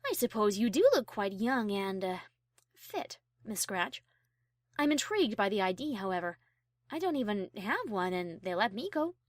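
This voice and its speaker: A woman voicing a prim, high-class and easily offended female pony in a fan audio story, speaking formally. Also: very animated, moderate pace, dry recording, studio quality, natural voice. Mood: prim, curious. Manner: formal, refined, prissy. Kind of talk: acted fan fiction audio drama.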